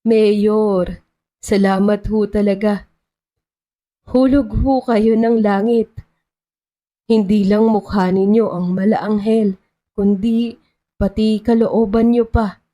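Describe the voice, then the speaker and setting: A woman's voice: medium pitch, steady tone, takes it slowly, pauses often, dark tone, lightly acted. A woman storyteller voicing a grateful, respectful elderly market rice seller in a romance story.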